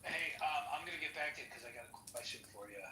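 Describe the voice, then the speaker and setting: A man with an ordinary mid-range voice talks bluntly and swears casually while he runs the room. He sounds far from the mic in an echoey space. Clear clicking noises sound behind him. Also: on the high side, animated, quick pace, very noisy. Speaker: audio room host calling on speakers. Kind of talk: casual chat.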